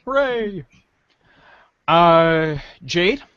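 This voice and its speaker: A man's voice: sits high, lively intonation, extremely slow, clean tone, dry recording, highs cut off. A man hosting a podcast and narrating a read-aloud in a jovial, theatrical way.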